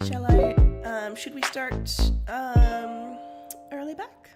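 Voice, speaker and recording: A woman's voice: a little high, fairly even intonation, takes it slowly. A woman co-hosting a livestream in a casual, playful, giggly way. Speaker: livestream co-host. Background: music.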